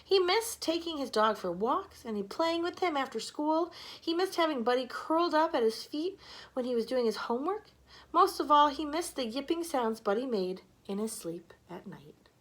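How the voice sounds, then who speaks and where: A woman's voice: high voice, lively intonation, moderate pace, fairly bright tone. A woman reading a scripted children's story aloud as narrator. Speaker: storybook reader.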